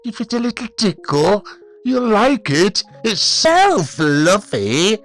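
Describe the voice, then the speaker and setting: A crude, swaggering bully rabbit who brags loudly and turns to creepy coaxing, as a male storyteller puts him on. The voice sits higher than a normal man's and pushes hard, with faint music playing underneath. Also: very animated, slow, exaggerated voice. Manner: boastful, swaggering, sudden growls and swearing.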